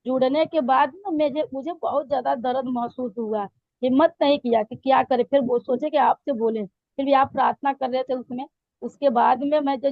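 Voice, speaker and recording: Hoarse high-voiced woman, halting testimony. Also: no room sound, dull audio. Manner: hesitant, many fillers and restarts. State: hoarse throat. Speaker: church member giving testimony. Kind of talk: spoken testimony to a pastor.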